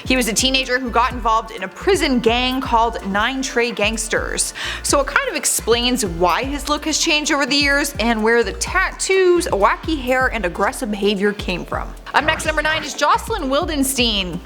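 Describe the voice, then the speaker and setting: A young woman with a fairly high voice hosts a celebrity countdown, mixing scripted reading with chatty, candid asides. Light music plays faintly underneath. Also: normal speed. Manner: chatty, candid asides, lively swoops in pitch. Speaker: host.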